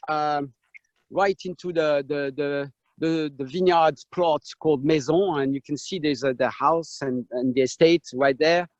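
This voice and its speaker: A man with a French accent, a winemaker giving a live tour of his vineyard over a video call, pausing often with fillers and restarts. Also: fairly high pitch, dry recording. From France. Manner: halting, many fillers and restarts. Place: outdoors in a vineyard on a hot day. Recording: dull lo-fi video call sound.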